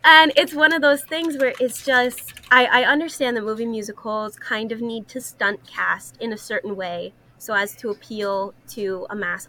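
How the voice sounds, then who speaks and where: A woman's voice: medium pitch, sharp tone. A young woman co-hosting a casual discussion, speaking in an earnest, opinionated way. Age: young adult. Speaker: podcast co-host, student singer and actress.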